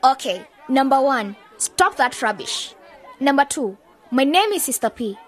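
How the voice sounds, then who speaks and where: A woman acting a bossy, focused young aspiring journalist in a radio drama, brisk and scolding. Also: high voice, big pitch swings, a faint crowd. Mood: bossy, decisive.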